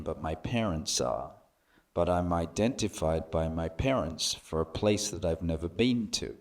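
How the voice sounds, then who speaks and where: Deep-voiced British man, reflective. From Britain. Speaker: panel speaker. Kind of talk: personal storytelling to an audience.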